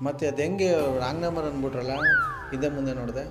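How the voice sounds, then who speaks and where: Fast-talking man, radio prankster, music behind. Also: medium pitch. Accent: southern Karnataka. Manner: argumentative, mock-scolding, teasing. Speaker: radio prank call host. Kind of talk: comic prank phone call.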